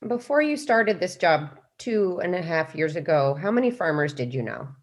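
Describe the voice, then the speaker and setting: Female podcast interviewer with a low-pitched voice, for questions and narrated links. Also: big pitch swings, moderate pace.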